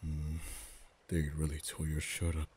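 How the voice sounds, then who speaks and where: A man voicing a protective male dragon in human form, tender yet teasing, speaking softly and often in a whisper for an ASMR roleplay. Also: a bass voice, monotone, unhurried, slight background noise. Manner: soft, hushed, often whispered.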